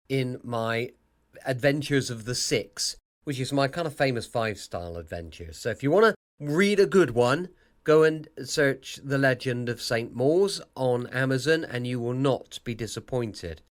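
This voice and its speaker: British male podcast host, chatty, animated pitch swings, slightly failing voice, home studio. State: slightly losing his voice. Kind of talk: casual, chatty show introduction.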